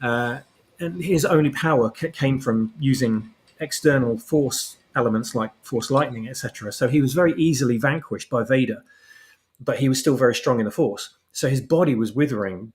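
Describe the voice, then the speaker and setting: A man's voice: mid-range voice, a little fast. A man giving conversational, reflective commentary as a panelist, explaining his ideas as he goes. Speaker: podcast guest panelist.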